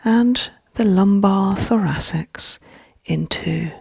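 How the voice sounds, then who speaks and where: A woman speaks very breathily and gently, guiding a relaxation in soft-spoken ASMR style. Her pace is very slow, with fry creeping into the hushed, often whispered delivery. She sounds soothing throughout. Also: medium pitch, no room sound. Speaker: relaxation guide.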